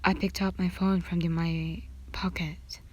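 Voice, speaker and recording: Lady reading fanfic asmr, real breathy, slow, sometimes nearly whispering. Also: fairly low pitch, flat delivery. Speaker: narrator reading own fan fiction. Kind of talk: scripted narration, ASMR story reading.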